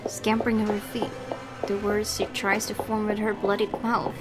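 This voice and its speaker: A woman's voice: mid-range voice, monotone, normal speed, over loud music. A woman let's player reading horror game narration aloud, with casual reactions of her own.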